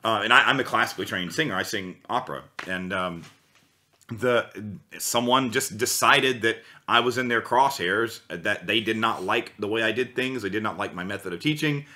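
A man in his thirties with a lowish, bright, forceful voice tells a story at length. He rambles and restarts often, keeping it animated and conversational, recorded in a small room. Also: moderate pace. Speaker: guest, voice teacher. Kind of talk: casual podcast storytelling. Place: small room with guitars on the wall.

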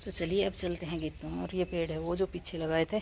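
A village woman talks to her phone camera in a chatty way, pointing things out around her yard. Her voice sits a little low, and there is clear outdoor noise around her. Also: flat delivery, a little fast, a little mellow. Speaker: village vlogger. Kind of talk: casual vlog narration.

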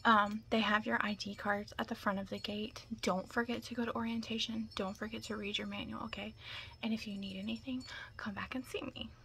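A young woman doing an ASMR roleplay in whispers and soft talk, chatting casually and reassuringly close to the mic. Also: mid-range voice, steady tone, fairly quick, vocal fry, a little hiss or hum. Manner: casual, chatty, reassuring makeup artist patter.

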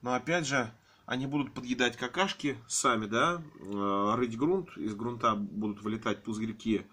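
A man with a slightly hoarse voice from a cold, filming his aquariums at home on a handheld phone and talking in a rambling, hesitant way full of fillers. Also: medium pitch, moderate pace, bright, forceful tone. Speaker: aquarium hobby vlogger. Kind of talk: casual home vlog, off-camera narration.

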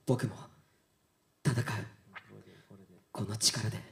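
A man playing an earnest, boyish younger brother, gentle but determined, in a visual novel game. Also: lowish voice, fairly even intonation, takes it slowly. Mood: earnest resolve.

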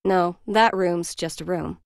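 An actress playing a skeptical government aide in an animated game, with a crisp, formal, matter-of-fact delivery. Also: female voice, fairly low pitch, unhurried, dry recording, studio quality. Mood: dry, official. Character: brisk, businesslike, skeptical female government aide. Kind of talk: dubbed animated game cutscene acting.

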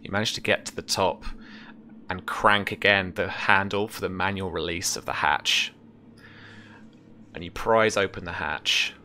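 A young man working as game master, narrating a tabletop scene in a casual, halting, descriptive way. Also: deep voice, steady tone, pushed and bright, a little hiss or hum. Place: indoor room, remote session. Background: music.

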